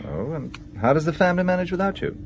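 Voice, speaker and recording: Quick, courteous businessman. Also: on the high side, very animated, slight background noise. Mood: polite, reserved. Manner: courteous, hesitant, guarded. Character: polite, reserved, wary British textile businessman traveller. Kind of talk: radio drama acting.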